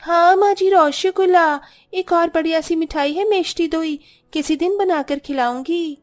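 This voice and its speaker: Woman doing a high, sweet Bengali bride. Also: measured pace, faint music behind. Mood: eager, sweet.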